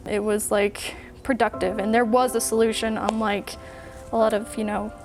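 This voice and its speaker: A woman's voice: mid-range voice, flat delivery, moderate pace. A young woman from Colorado, a film student, speaking hesitantly as she searches for words in an interview. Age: young adult. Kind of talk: documentary interview. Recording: music bed under the voice.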